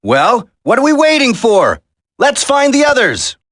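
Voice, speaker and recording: A man's voice: very high voice, huge pitch swings, measured pace, fairly bright tone, no echo, studio quality, full character voice. A man voicing an earnest special forces soldier in a video game, dramatic and urgent. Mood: businesslike. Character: earnest male special forces soldier, determined, worried for comrades.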